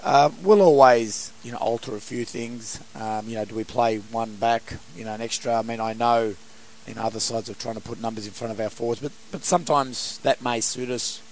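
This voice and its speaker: A hoarse man, a football coach answering post-match questions in a halting way. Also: mid-range voice, fairly even intonation, darkish tone, a little hiss or hum, low-quality recording. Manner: halting, many restarts and fillers. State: hoarse, has lost his voice.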